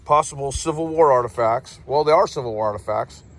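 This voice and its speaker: A man's voice: medium pitch, fairly quick. An American man hosting a casual vlog, chatting in a playful, teasing way. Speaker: host of the channel.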